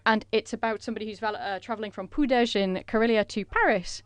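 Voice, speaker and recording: Woman interpreter, smooth clear voice, dry close sound, measured delivery with fillers. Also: medium pitch, no echo. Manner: measured, with frequent filler pauses. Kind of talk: interpreting a conference presentation.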